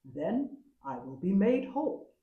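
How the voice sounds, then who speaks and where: A woman's voice: mid-range voice, very animated, unhurried, echoey room, phone-quality sound. Woman as a proud, emphatic storybook leopard. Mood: boastful. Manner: grand, wondering, emphatic. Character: proud, foolish, gullible male leopard. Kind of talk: folktale storytelling.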